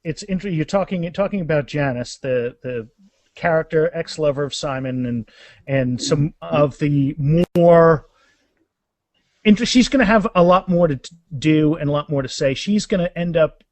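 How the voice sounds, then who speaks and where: A man's voice: a little high, normal speed, a little mellow, dry recording. A man of about fifty chatting casually and enthusiastically as a guest author in a podcast interview, rambling as he goes.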